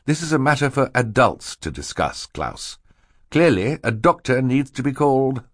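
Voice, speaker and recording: A man reading an audiobook as a fussy, stern, easily flustered gentleman who admonishes pompously. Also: mid-range voice, lively intonation, normal speed, dry recording, clean studio sound, pitched above the performer's own voice. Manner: admonishing, pompous, punctuated by coughing. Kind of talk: audiobook character acting.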